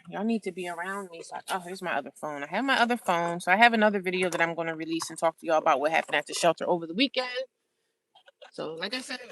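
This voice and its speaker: A woman's voice: mid-range voice, a bit bright, slight background noise, poor audio. Chatty American woman livestreaming. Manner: informal, repeats phrases for emphasis, reads and answers viewer comments. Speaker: vlogger, livestream host. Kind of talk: casual chatty livestream talk to viewers.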